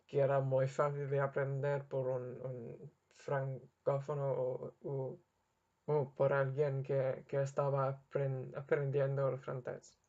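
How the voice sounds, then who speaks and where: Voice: medium pitch, darkish tone, dull audio. A young adult language learner talking unscripted and searching hard for words, often restarting and correcting themselves. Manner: apologetic about own mistakes. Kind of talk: unscripted spoken monologue to camera.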